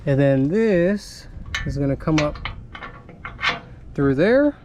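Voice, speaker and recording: A young man casually narrating a how-to vlog while installing a radio in his pickup, relaxed and chatty with frequent pauses. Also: on the high side, lo-fi sound, background noise.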